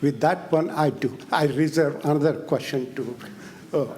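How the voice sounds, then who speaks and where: A man's voice: fairly high pitch, moderate pace, darkish tone. A man from the audience at a press conference, pressing an insistent, detail-heavy question. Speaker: audience member asking a question.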